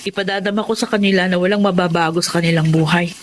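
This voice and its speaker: A woman's voice: lowish voice, fairly even intonation, fast talker, slight background noise, lightly acted. A woman playing a long-suffering but determined abandoned wife and mother in a radio drama. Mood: hurt, determined. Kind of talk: radio drama acting and narration. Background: music.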